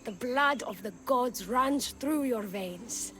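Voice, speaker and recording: A woman voicing an earnest, deliberate warrior-in-training in a video game. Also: fairly high pitch, lively intonation, a bit bright, faint music behind. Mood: intent, admiring. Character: earnest warrior-in-training, reverent toward her idol. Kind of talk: video game cutscene acting.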